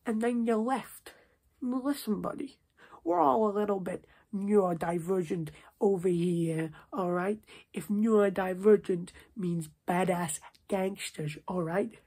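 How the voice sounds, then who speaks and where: Guy putting on a jersey mob boss for asmr, super husky n whispery, stern, keeps checking you get it. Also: high voice, unhurried, heavily acted. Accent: put-on New Jersey mobster. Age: 20s. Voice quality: hushed, breathy, husky. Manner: repetitive, slangy, stern with checking tags. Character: bossy male New Jersey mob boss, scolding, paternal. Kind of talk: ASMR roleplay monologue. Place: small domestic room, indoors.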